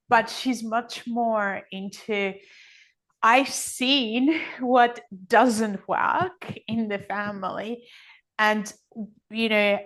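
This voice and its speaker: A woman's voice: fairly high pitch, fairly bright tone. A middle-aged woman giving reflective, conversational interview answers as a podcast guest, often acting out other people's thoughts as quotes. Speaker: podcast guest, energy healing author.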